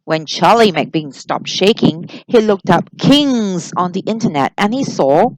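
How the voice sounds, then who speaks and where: Animated, unhurried woman reading a storybook. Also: lowish voice, dry recording, poor audio. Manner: slow, deliberate, animated storytelling for children. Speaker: storybook reader. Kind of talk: expressive read-aloud of a children's story.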